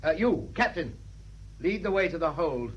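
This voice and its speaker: An actor playing a brisk, hearty, commanding British naval officer in a vintage radio drama. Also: male voice, on the high side, expressive, lightly acted. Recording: echoey, distant, dull noisy old radio recording.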